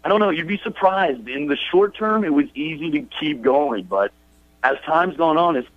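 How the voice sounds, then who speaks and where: A man's voice: fairly high pitch, animated, normal speed, no room sound. Young man on a dull phone line. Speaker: caller to a radio show. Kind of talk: casual confessional chat. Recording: dull phone call, dry.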